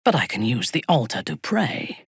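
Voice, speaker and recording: A woman playing a ruthless, haughty dark elf noblewoman in a fantasy video game, speaking in a measured, menacing way with a breathy, husky voice. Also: extremely low pitch, big pitch swings, dry recording, clean studio sound, full character voice. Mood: cool, alert. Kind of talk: dramatic fantasy game dialogue.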